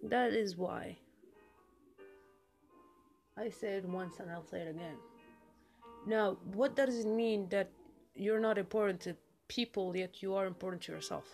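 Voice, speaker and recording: A woman's voice: lowish voice, darkish tone. A woman with a somewhat masculine voice giving casual, emphatic advice in a monologue, repeating phrases to drive her points home. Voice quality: self-described masculine voice. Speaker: vlogger giving personal advice.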